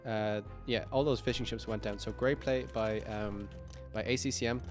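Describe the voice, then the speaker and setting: Croaky-voiced male game caster. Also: fairly low pitch, fairly even intonation, moderate pace, soft background music. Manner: rambling and upbeat, frequent "uh" fillers, self-corrections. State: croaky, tired voice late in a long stream. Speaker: esports caster. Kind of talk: live play-by-play game commentary.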